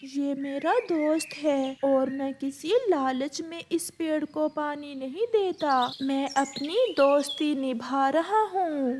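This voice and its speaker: A woman's voice: high voice, slow pace, few pauses, darkish tone, a little hiss or hum. A woman voicing a poor young boy bird in a children's cartoon, speaking in an earnest, plaintive, childlike way. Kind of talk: children's cartoon story dialogue.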